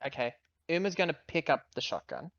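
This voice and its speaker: A woman's voice: low-pitched, sing-song, fairly quick, no echo, muffled recording. A woman playing a tabletop game, casually narrating what her character does and chatting with the table.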